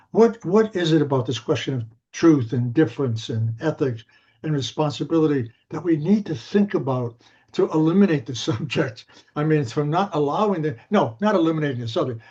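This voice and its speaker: A man interviewing a guest, thinking aloud in searching, halting sentences with frequent restarts. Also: fairly high pitch, darkish tone, husky. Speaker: interviewer, scholar. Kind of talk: academic interview discussion. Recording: dull lo-fi audio.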